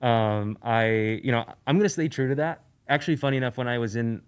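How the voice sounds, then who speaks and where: A man from Eastern Europe, a podcast guest, talking in a relaxed, rambling way with lots of fillers and restarts. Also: medium pitch, brisk, clear, resonant tone. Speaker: guest, sneaker YouTuber. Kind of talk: casual podcast conversation.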